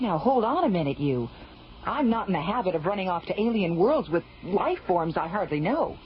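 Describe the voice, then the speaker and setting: A woman's voice: fairly low pitch, very animated, fairly quick, crowd noise. A feisty, flustered young woman. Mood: indignant. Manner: spirited, flustered. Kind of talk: 1970s radio drama acting. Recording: dull old radio recording.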